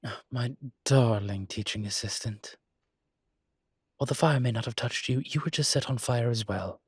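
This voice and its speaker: A man playing a suave ancient demon professor in an intimate roleplay audio drama, with a husky, breathy low voice and a dry, teasing, urbane manner. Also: normal speed, no room sound, natural voice. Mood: gentle, reassuring.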